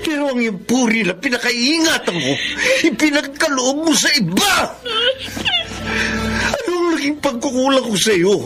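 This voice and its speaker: A man's voice: very high-pitched, big pitch swings, normal speed, over loud music. A male actor playing a devoted, betrayed young boyfriend in a melodramatic radio drama, earnest and emotional. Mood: anguished pleading. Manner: earnest, emotional, emphatic pleading. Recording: noisy radio drama recording.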